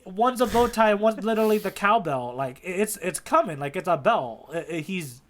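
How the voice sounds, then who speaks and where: Animated, higher-pitched man chatting casually. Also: normal speed, a little hiss or hum. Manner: animated, informal and slangy. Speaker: podcast co-host. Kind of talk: casual manga discussion chat.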